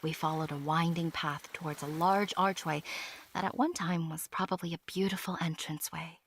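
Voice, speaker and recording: A woman's voice: low voice, normal speed, slightly rough, dry recording. A woman playing a small, proud winged secret agent who narrates an audio drama expressively and earnestly. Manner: expressive, self-important storytelling. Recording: music behind the voice.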